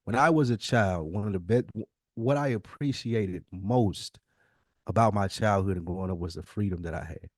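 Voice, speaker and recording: A man's voice: on the low side, moderate pace, no echo, studio quality. An American man in his early forties talking casually on a podcast, reflective and emphatic, often repeating himself to make a point. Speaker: podcast host. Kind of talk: casual conversational podcast talk.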